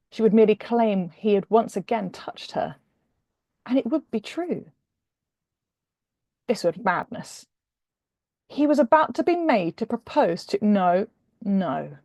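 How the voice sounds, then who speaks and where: Female author-narrator, expressive romance reading, slightly gravelly mellow tone, dry close sound. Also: mid-range voice, dry recording. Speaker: author reading from own novel. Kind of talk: expressive audiobook-style narration of a humorous romance.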